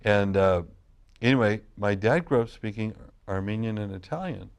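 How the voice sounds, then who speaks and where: A man's voice: on the low side, moderate pace, creaky, smooth. A middle-aged man from California, a wine grape grower, telling long family stories in a casual interview with frequent restarts. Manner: rambling, restarts sentences often.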